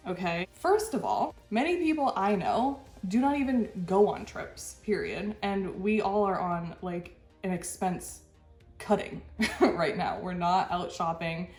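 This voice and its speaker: A stuffy-nosed woman hosts a reaction video, frank and exasperated, breaking into short laughs between points. Her voice sits at an ordinary middle pitch. The room rings with echo, and faint music plays underneath. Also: a little mellow. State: stuffy from a cold. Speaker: channel host. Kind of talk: reaction commentary.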